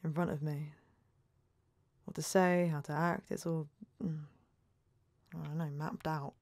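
Downtrodden British call-centre woman, halting, blunt, slightly low voice, close dry sound, audio drama. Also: little pitch movement, a little mellow, no room sound. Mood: wistful, embarrassed.

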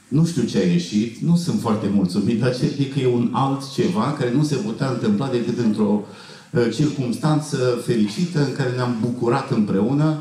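A middle-aged man telling anecdotes to an audience in a halting way, often clearing his throat, on a festival stage. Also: on the low side, mellow. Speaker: film actor at festival Q&A. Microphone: handheld mic, heard from far camera. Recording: echoey hall, distant camera pickup.

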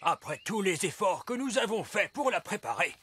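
Very old, wise male elf for animation: reassuring, expressive, emphatic. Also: high-pitched, normal speed, husky, full character voice. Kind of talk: French cartoon dubbing.

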